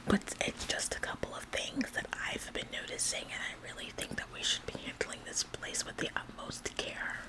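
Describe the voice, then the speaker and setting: A woman's voice: moderate pace, few pauses, close to an everyday voice, rough recording. Woman playing an overeager whispering bossy office employee. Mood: politely critical. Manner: passive-aggressive, hedging, falsely modest. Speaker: ASMR performer. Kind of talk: whispered ASMR roleplay.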